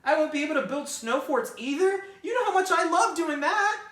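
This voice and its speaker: A woman's voice: sits high, lively intonation, a little fast, fairly bright tone, pitched above the performer's own voice. A woman doing a grumpy, fretful storybook rabbit. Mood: grumbling. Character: grumpy worried young male rabbit. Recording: roomy, mic at a distance.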